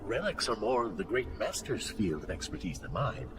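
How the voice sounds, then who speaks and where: Low-voiced, very expressive mentor. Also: male voice, moderate pace, low-quality recording. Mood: gracious, curious. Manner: gracious, formal, warmly expressive. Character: kindly, cultured male master and mentor of recruits. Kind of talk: video game cutscene acting. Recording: loud music behind the voice.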